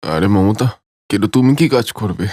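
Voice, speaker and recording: A man's voice: lowish voice, animated, normal speed, a little mellow, no echo, studio quality. A man playing a humble, hard-working village husband in a cartoon story. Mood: worried.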